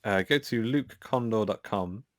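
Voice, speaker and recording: A man's voice: on the low side, measured pace, no room sound, studio quality. A man speaking as a podcast guest in an informal, self-effacing, chatty way. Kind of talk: casual chat.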